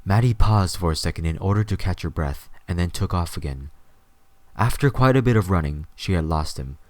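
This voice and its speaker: A man's voice: low-pitched, animated, a little fast, no room sound. A man reading scripted story narration as the narrator of an audio drama.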